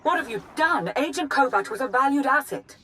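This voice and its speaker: An actress playing a stern, calculating Sith minister in a video game, speaking with clipped authority. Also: female voice, sits high, expressive, a little fast, bright, forceful tone. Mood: shocked, angry. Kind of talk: video game cinematic acting.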